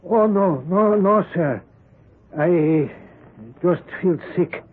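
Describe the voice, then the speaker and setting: An actor playing a nervous, evasive employee in a 1970s radio drama, hesitant and uneasy. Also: male voice, high voice, drawn-out words, slight background noise. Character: nervous, shifty male employee, evasive and sullen. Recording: muffled 1970s radio broadcast.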